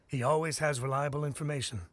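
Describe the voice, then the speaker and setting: A man's voice: on the high side, fairly even intonation, fast talker, dry recording, close to an everyday voice. A man voicing a gossipy, scornful villager in an anime video game, with a sardonic, scoffing delivery. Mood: skeptical, gossipy.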